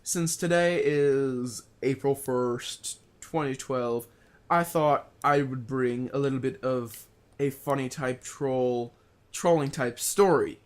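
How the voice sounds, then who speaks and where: A young man telling a casual, unscripted story in a rambling, halting way, often rephrasing himself as he goes. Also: medium pitch. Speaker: online video storyteller.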